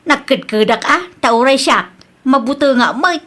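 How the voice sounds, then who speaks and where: Bright, fairly high female voice, actress as gossipy mother, Ilocano accent, hesitant, evasive, comedy drama. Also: expressive, unhurried, full character voice. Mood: wary. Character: wary, evasive, apologetic village mother.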